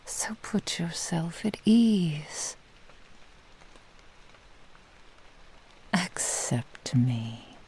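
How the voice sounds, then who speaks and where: A woman voicing a possessive, seductive fox-spirit witch in a whispered ASMR roleplay, teasing and menacing in a breathy, husky murmur. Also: fairly low pitch, big pitch swings, darkish tone, heavily acted, poor audio. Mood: soothing, domineering. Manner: slow, teasing, purring menace.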